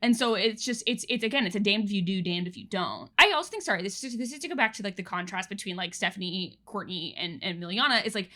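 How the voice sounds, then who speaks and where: A woman co-hosting a podcast in casual chat, animated and full of fillers and restarts. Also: medium pitch, fast talker, few pauses. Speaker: podcast co-host.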